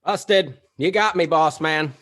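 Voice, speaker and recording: A man's voice: a little high, slow, fairly bright tone. A man playing a wisecracking suburban dad close to retirement, in a hammy comedy table read. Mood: cheeky.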